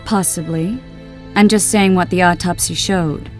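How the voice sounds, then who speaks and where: Woman as a low-voiced, composed sorceress. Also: fairly even intonation, soft background music, lightly acted. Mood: composed, reasoning. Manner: measured, articulate, gently argumentative. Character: composed, learned adult sorceress, loyal and reasoning. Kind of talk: video game cutscene acting.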